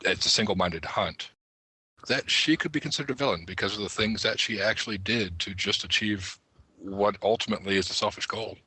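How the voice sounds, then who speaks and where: A man's voice: on the low side, fairly quick, bright, forceful tone, husky, no echo, poor audio. A man co-hosting a podcast, chiming in with casual discussion of fantasy game lore. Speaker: podcast co-host.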